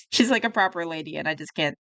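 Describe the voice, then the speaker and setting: A woman's voice: deep voice, fast, fairly bright tone, no room sound. A young woman streaming live, chatting casually about herself and reacting to a video, often laughing and poking fun at herself.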